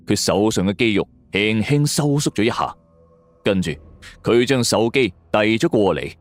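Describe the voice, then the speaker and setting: A man's voice: on the low side, no echo. A man narrating a scripted suspense story in a dramatic storytelling manner.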